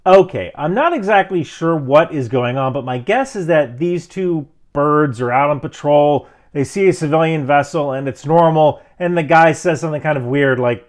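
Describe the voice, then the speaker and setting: A middle-aged American man giving emphatic, instructive commentary as a video host. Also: fairly high pitch. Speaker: video host, military veteran. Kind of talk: explanatory commentary to camera.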